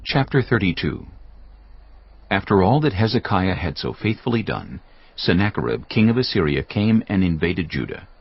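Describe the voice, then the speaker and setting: Deep-voiced solemn male scripture narrator. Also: very animated, gravelly, breathy edge, dull audio. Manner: solemn, measured. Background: music.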